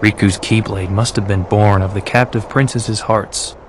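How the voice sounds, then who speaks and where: A man acting a serious, level-headed young warrior in a video game, speaking in a grave, measured way. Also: fairly low pitch, fairly even intonation, slight background noise, natural voice.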